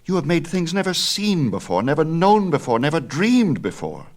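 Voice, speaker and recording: A male narrator plays a headstrong young son in a dramatic storybook tale. The voice is lifted well above a deep narrator's register and moves in bold swings. He is eager, insistent and persuasive. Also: wildly swooping pitch, moderate pace. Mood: excited, persuasive.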